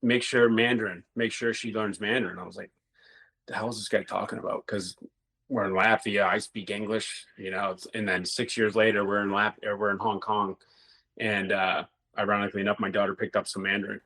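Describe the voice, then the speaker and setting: A man's voice: on the low side, level tone, fairly quick, pushed and bright, breathy edge. A middle-aged man, a former hockey player, telling rambling stories in a casual interview and swearing now and then.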